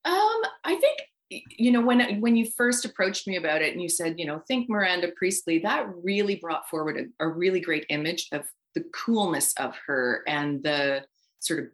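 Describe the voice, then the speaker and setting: A woman's voice: on the low side, expressive, moderate pace. A woman, an actress speaking casually as an interview guest. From Ontario, Canada.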